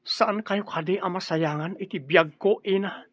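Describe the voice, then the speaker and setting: A man's voice: sits high, moderate pace, fairly bright tone, husky, dry recording, dull audio. An actor playing an earnest, respectful, peace-loving young man fresh from graduation in an Ilocano radio drama. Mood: earnest, pleading.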